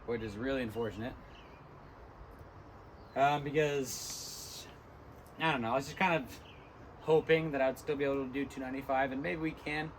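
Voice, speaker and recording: A tired, hoarse young man talking casually between lifts in a gym, rambling with many ums. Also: mid-range voice, background noise. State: hoarse, lost voice from illness. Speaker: fitness vlogger. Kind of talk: casual gym vlog talk.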